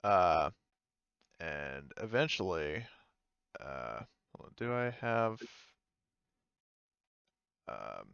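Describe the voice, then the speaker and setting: Male game master, animated and smooth, laughing often; dull dry lo-fi recording. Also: a bass voice, very slow, long pauses, no room sound. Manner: laughs often, trails off with fillers. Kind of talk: running a tabletop game.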